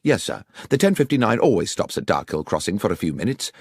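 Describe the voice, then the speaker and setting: A man's voice: fairly low pitch, big pitch swings, quick pace, husky, dry recording, clean studio sound, full character voice. A man voicing a neat, orderly country station master who answers politely and plainly. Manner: polite, deferential, plain-spoken.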